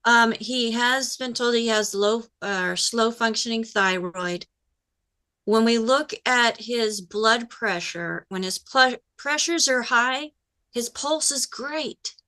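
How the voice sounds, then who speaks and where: Bright upbeat woman co-host. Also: a little high, takes it slowly. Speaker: co-host reading listener questions. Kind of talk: call-in show hosting.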